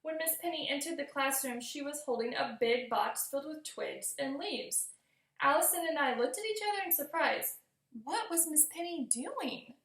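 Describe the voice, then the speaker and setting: A woman's voice: sits high, expressive, normal speed, creaky, fairly bright tone. A woman reading a storybook aloud expressively for young children, in the manner of a teacher addressing listeners. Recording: roomy echo.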